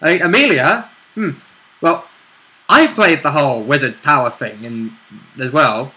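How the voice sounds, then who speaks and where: A man's voice: fairly high pitch, lively intonation, measured pace, pushed and bright, rough recording. A man chatting casually as a tabletop game player, bantering and interjecting. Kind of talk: casual chat.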